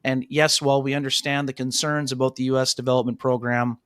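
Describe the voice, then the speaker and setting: A man's voice: mid-range voice, level tone, fast talker, a bit bright, clean tone, no room sound, studio quality. A man co-hosting a hockey radio show, talking casually with many "you know" fillers and calling his co-hosts "fellas". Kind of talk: casual sports talk radio chat. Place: radio studio.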